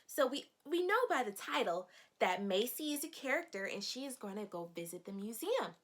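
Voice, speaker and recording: Higher-pitched woman reading aloud to children. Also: moderate pace. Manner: expressive, explains words simply, poses questions. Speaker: teacher reading a picture book aloud to young children. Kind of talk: read-aloud storytelling with questions to children.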